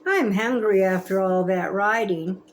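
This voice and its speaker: A woman in her seventies reading a children's story aloud in a gentle, expressive, grandmotherly way. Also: medium pitch, measured pace, slight background noise. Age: 70s. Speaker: storybook reader.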